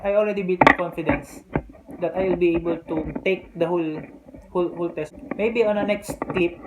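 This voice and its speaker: A native Filipino speaker with a Filipino accent, casually explaining practical test tips to the camera. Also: medium pitch. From Philippines. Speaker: vlogger giving test tips. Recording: clear background noise.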